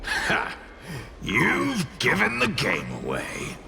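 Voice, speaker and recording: An actor playing a cranky, scornful spirit creature in an anime-style game, with a sneering, theatrical delivery. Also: male voice, high voice, wildly swooping pitch, slow pace, creaky, noisy background, full character voice. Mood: mocking. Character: cranky, scornful male tail spirit, mocking and impatient.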